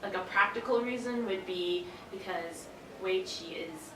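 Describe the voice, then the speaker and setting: A woman's voice: medium pitch, a little slow. A young woman giving casual, thoughtful interview answers with some hesitation in her phrasing. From Canada. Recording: echoey, distant microphone, noisy room.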